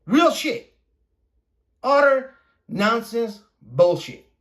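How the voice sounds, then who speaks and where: A man who is a native Spanish speaker hosting a podcast, delivering an opinionated casual monologue in a blunt, profane, repetitive and halting manner. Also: very high voice, big pitch swings, a bit bright. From Puerto Rico. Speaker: podcast host.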